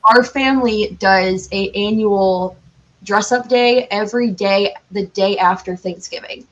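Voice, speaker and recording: A woman's voice: mid-range voice, measured pace, muffled recording. A woman from the United States chatting casually as a podcast guest, rambling and correcting herself as she goes. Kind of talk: casual chat.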